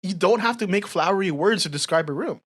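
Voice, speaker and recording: Podcast co-host, 20s woman, low voice, slight Filipino accent, direct. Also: lively intonation, fast. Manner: direct, laughing, casual swearing. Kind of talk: casual chat.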